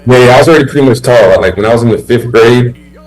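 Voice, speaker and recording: A man's voice: mid-range voice, quick pace, faint music behind, poor audio. A man in his thirties, born in Haiti and raised in the US, chatting casually as a basketball player guest in an easygoing, rambling way with many fillers. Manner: relaxed, rambling, laid-back with many fillers. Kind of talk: casual interview chat.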